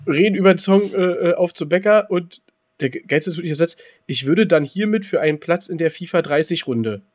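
A man co-hosting a podcast, telling stories and bantering casually in a teasing, rambling way with repeated words. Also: a little high. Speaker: podcast co-host.

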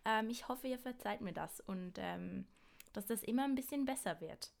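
A woman's voice: on the high side, lively intonation, moderate pace, creaky. A young woman from Switzerland with a Basel accent, co-hosting a casual podcast over a remote call and speaking hesitantly with laughs and apologies about her German. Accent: Basel Swiss German. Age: young adult. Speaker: podcast co-host. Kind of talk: casual chat.

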